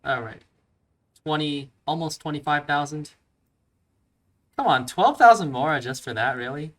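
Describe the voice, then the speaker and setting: A man's voice: a little high, measured pace, a bit bright. A man giving casual, slangy let's play commentary, thinking aloud as he plays.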